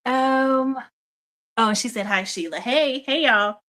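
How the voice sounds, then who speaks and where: Bright-voiced woman hosting a live stream. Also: a little high, slow pace. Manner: chatty and encouraging, greets viewers by name, says y'all. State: strained voice, nearly losing it. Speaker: host of a live faith and business show. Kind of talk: casual live-stream chat with viewers.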